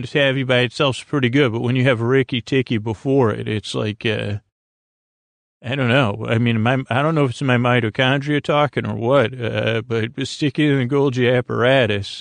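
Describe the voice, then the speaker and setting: A man hosting a sleep podcast, rambling gently and hesitantly through silly, goofy asides in a gravelly, creaky voice. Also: medium pitch, dry recording. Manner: meandering, hesitant, halting asides. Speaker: podcast host. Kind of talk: rambling bedtime monologue to help listeners sleep.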